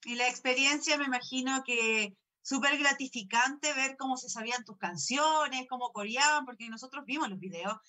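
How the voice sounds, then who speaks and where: A woman's voice: high voice, normal speed, few pauses, pushed and bright. A woman hosting a radio interview, asking friendly, leading questions.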